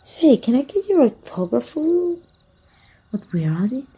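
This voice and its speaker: A woman playing a teasing, wistful and flirty female vampire hunter with a breathy, husky voice in an intimate ASMR roleplay. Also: high voice, very animated, full character voice. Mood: taunting, flirty. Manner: slow, teasing, softly taunting.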